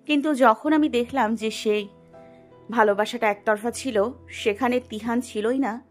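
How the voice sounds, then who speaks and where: A woman's voice: fairly high pitch, measured pace, faint music behind. A woman playing a melancholy, heartbroken young elder sister in an audio story. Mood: wistful sadness. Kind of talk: Bengali audio story acting.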